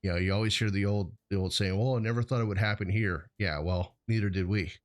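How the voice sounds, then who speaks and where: A man's voice: on the low side, fast. An American man hosting a show, talking in a casual, rambling, conversational way peppered with "you know". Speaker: host of a hazmat show.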